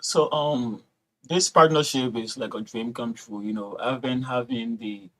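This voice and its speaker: A man's voice: mid-range voice, measured pace, low-quality recording. A man answering interview questions as a filmmaker, thoughtful and often saying "you know". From Liberia. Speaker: filmmaker guest.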